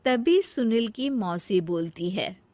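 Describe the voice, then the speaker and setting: A woman narrator tells a cartoon moral tale from a script. Her delivery is slow and measured, and the recording is very dry. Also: mid-range voice, animated, a little mellow, no room sound.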